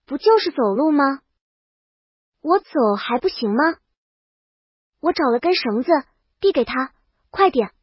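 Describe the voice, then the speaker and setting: A woman's voice: sits high, no room sound, muffled recording. A woman reading a scripted first-person comic story as its brash, exasperated heroine. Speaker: audio story narrator.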